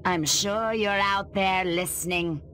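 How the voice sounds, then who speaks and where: A woman's voice: mid-range voice, slow, fairly bright tone, faint music behind. A woman playing a scornful, patriotic government agent villain in a video game, theatrical, taunting and sarcastic.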